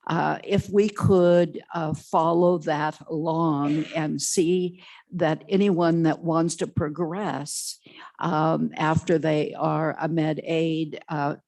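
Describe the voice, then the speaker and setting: A woman testifying before a legislative committee for a health care association. She has a loud voice and talks haltingly, with many fillers. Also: sits low. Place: legislative committee hearing room.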